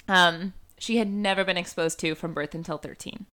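A woman's voice: on the low side, steady tone, moderate pace, sharp tone. A young woman hosting a true-crime podcast, telling a case in a casual chatty way, full of "like" and "um" fillers. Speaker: podcast host.

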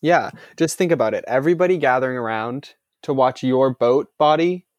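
A young man co-hosting a casual podcast, laid-back and quick to laugh. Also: medium pitch, no echo. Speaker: podcast co-host. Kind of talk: casual chat.

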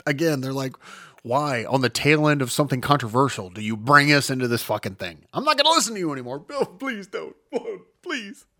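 A man's voice: on the high side, sing-song, moderate pace, pushed and bright, dry recording, studio quality. Rambling, profane male podcast host. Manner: rambling, profane, self-mocking, jumps between topics. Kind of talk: solo casual news podcast.

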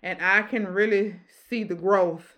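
A woman in her forties with a deep voice, hosting a casual podcast chat and preaching in an emphatic, repeating way. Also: fairly even intonation, unhurried, dull audio. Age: 40s. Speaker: podcast host.

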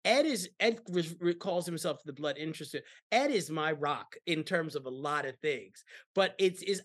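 A woman hosting a podcast, talking in a rambling way with many restarts and repeated words. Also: on the low side. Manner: rambling, repeats words, often asks "you know what I mean". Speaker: podcast host. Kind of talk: casual conversation.

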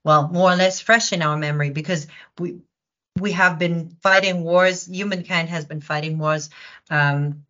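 A woman's voice: fairly low pitch, steady tone, normal speed, a bit bright, dull audio. A woman, a therapist, interviewing on a podcast and often repeating words as she searches for them.

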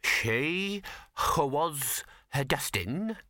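A man's voice: fairly high pitch, lively intonation, drawn-out words, sharp tone, dry recording, clean studio sound, exaggerated voice. A man voicing a pompous female housekeeper with affected, over-genteel speech and misplaced H's, in a storybook reading. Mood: haughty, prim. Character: pompous working-class housekeeper woman putting on airs.

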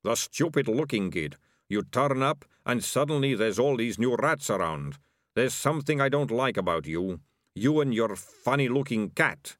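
A man voicing a gruff, suspicious bully of a rat catcher with a creaking, menacing voice for a storybook. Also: medium pitch, animated, moderate pace, fairly bright tone, no room sound, clean studio sound, natural voice. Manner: menacing, rough colloquial speech.

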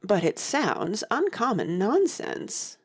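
Woman playing a very slow, mournful Mock Turtle. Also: medium pitch, big pitch swings, breathy edge, heavily acted. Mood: doleful, baffled. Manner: ponderous, mournful, sighing delivery. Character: doleful, sentimental Mock Turtle, slow and plodding. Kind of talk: full-cast audiobook character acting.

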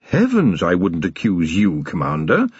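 A man's voice: deep voice, huge pitch swings, a little slow, husky, dry recording, highs cut off, exaggerated voice. A man voicing a cold, ironic middle-aged city tyrant who speaks in a dry, precise, sardonic way.

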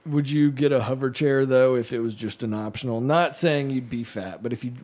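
Podcast co-host, thirties, male, mid-pitch, keen trivia talker. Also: brisk, darkish tone. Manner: enthusiastic, chatty, brings up trivia. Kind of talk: casual chat.

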